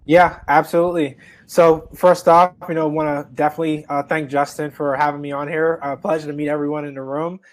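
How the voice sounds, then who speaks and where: A man's voice: on the high side, flat delivery, fairly quick, hardly any pauses, no echo, rough recording. A young adult man from the Bahamas, a guest investor telling his story in an easygoing way with frequent fillers. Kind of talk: casual interview talk.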